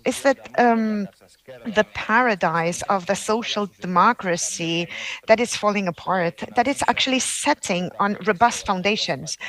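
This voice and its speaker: A woman who is not a native English speaker, giving a formal speech at an award ceremony, halting often with fillers and restarts. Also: mid-range voice, rough recording. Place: ceremony hall.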